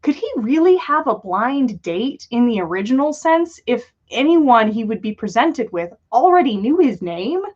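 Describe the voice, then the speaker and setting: A woman reads a story aloud in a fairly high voice that moves up and down a great deal. She leans on dramatic pauses and stretched words, and the livestream audio is slightly dull and lo-fi. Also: sing-song, a little mellow, slightly rough. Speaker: podcast host reading listener-written fan fiction.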